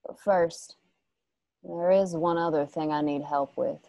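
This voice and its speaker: A woman's voice: deep voice, steady tone, measured pace, vocal fry, dark tone, no room sound. A woman playing a fierce, bitter young fighter in a radio drama, with a drawl and a defiant, menacing manner. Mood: subdued, bitter. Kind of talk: radio drama acting over video call.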